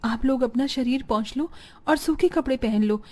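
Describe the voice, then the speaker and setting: A woman playing tender, sorrowful women of a poor family in an animated moral story. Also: high voice, moderate pace. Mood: caring. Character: poor, caring mother or dutiful daughter, sorrowful.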